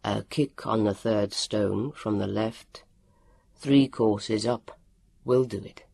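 A man voicing a strong, relentless male interrogator in an audiobook, speaking calmly and politely in stilted, formal words. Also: lowish voice, gravelly, dry recording, pitched below the performer's own voice, full character voice. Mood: polite command. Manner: calm, polite, measured, stilted formal wording. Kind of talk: audiobook character voice.

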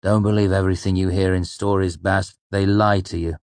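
A man's voice: low voice, level tone, a little fast, a little mellow, dry recording, lightly acted. A man voicing a tired, stoic male innkeeper with a dry, dismissive manner, in a fantasy storybook. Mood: dry, calm.

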